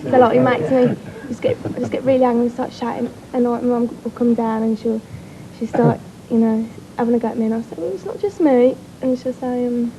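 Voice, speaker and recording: Loud-voiced woman talk show guest. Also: on the high side, fairly even intonation, a little fast. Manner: says she has a loud voice. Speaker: studio guest, sister. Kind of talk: emotional recounting. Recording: dull lo-fi TV recording, faint hum.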